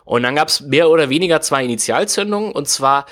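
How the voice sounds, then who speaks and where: A man's voice: on the high side, expressive, a little fast, fairly bright tone. A man, explaining at length in a casual podcast chat, full of fillers and hedges. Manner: rambling, many fillers and hedges. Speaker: guest explaining game industry history.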